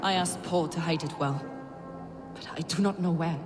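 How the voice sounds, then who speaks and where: A man's voice: on the high side, fairly even intonation, normal speed, close to an everyday voice. A man as a devout cleric in long reverb. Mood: worried. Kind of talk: dramatic video game acting. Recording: long reverb under music.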